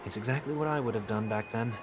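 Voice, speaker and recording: A man playing a composed, courteous young idealist in a video game, speaking in a measured, formal, earnest way. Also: mid-range voice, over loud music, lightly acted. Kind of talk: voiced game dialogue.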